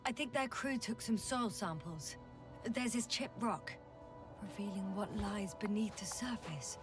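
An actress playing a tense, shaken astronaut exploring a planet in a video game, speaking in a hushed, halting, measured way. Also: female voice, medium pitch, distant mic, music in the background, natural voice. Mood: observant, measured. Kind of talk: dramatic game dialogue.

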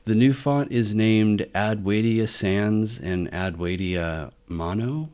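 A man's voice: lowish voice, takes it slowly, a little mellow, clear, resonant tone. A man giving casual, unscripted commentary as a tech video host, rambling and thinking aloud as he goes.

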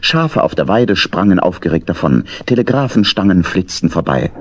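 A man's voice: sits low, sing-song, normal speed, noisy background. A man narrating a scripted children's story in German as the storyteller of an audio play.

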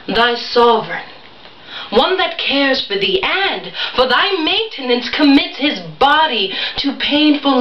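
A woman performing a classical stage monologue as a proud Shakespearean wife, declamatory and deliberate. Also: high voice, full character voice. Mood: solemn, declamatory. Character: proud Shakespearean wife preaching obedience to other women. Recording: echoey room, distant mic, muffled.

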